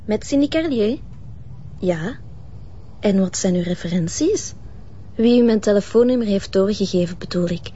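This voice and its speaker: A woman's voice: mid-range voice, lively intonation, normal speed, pauses often, dark tone, a little hiss or hum, lightly acted. A Flemish actress playing a cool, businesslike call girl in a crime drama, talking in a guarded, matter-of-fact way as if on the phone. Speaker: actor. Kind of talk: naturalistic crime drama acting. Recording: slightly muffled sound.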